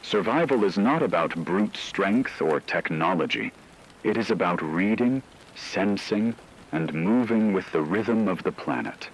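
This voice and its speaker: A man delivering dramatic scripted narration in a grave, measured, portentous way with deliberate pauses. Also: lowish voice, animated, slight background noise, rough recording. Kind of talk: dramatic scripted narration in the manner of a radio story.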